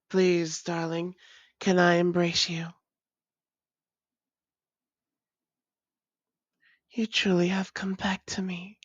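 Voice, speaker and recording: A woman's voice: lowish voice, gravelly, breathy edge, no echo, highs cut off, full character voice. A woman voicing a doting, long-lost vampire lover in an intimate audio roleplay, speaking tenderly in formal, old-fashioned phrases and often slipping toward a whisper. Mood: tender, wistful. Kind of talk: intimate romantic audio roleplay, slow and breathy.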